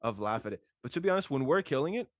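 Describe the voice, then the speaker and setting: A young man chatting casually about football as a podcast host, excitable and slangy with emphatic repetition. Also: mid-range voice, rapid-fire, dry recording, clean studio sound. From Toronto, Canada. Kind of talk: casual sports chat.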